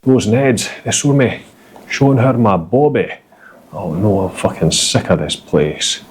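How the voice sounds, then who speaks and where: A cheeky, crude Glaswegian man speaks in a broad Glaswegian accent, voiced by a man. The voice is low and brash. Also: expressive, a little slow, darkish tone, a little hiss or hum.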